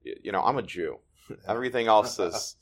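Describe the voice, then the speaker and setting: A man born in Ukraine whose first language is Russian, a guest telling his family history in a thoughtful, halting way. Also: fairly low pitch. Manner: thoughtful, restarts often, halting. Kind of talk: conversational interview.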